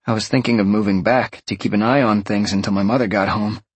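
A man's voice: lowish voice, steady tone, fast talker, slightly rough, no room sound. A man voicing a tense, hunted young man in an audiobook, blunt and urgent. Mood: grim, tense.

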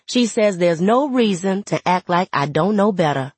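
A woman's voice: fairly low pitch, animated, normal speed, a little mellow, breathy edge, no room sound. A woman playing a plainspoken, wry, resentful young woman, telling her story in conversational dialect for an audiobook. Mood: wry, aggrieved. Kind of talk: first-person audiobook narration in character.

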